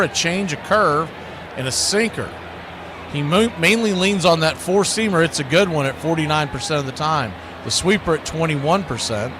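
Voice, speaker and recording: A man's voice: on the high side, noisy background. A man doing live baseball play-by-play in a folksy style, fond of nicknames and catchphrases. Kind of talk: live sports commentary.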